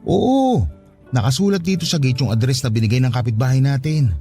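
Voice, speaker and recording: A man's voice: mid-range voice, lively intonation, brisk, warm tone, close to an everyday voice. A male actor with a Filipino accent playing an eager, trusting, affectionate older brother from the province in a radio drama. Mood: reassuring, hopeful. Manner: exclamatory, swooping delivery. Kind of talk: emotional radio drama acting. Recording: faint music under dialogue. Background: faint music.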